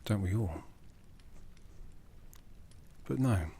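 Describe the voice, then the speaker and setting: A man playing an ancient, jaded, aristocratic vampire in a breathy, half-whispered ASMR roleplay, haughty and seductive with long deliberate pauses. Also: fairly low pitch, animated, warm tone, heavily acted. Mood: weary, disdainful. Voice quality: breathy, often dropping into whisper.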